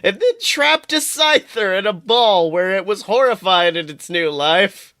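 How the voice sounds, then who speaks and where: A man co-hosting a podcast in casual comedic chat, swearing freely with animated exclamations. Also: sits high, a little slow. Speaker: podcast co-host.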